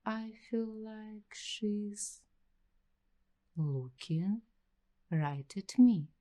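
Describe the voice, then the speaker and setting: A woman playing an uneasy, suspicious young waitress in an ASMR roleplay, speaking to the listener in hushed, halting phrases. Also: mid-range voice, lively intonation. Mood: uneasy wonder. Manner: hushed, halting delivery in short broken phrases with long pauses.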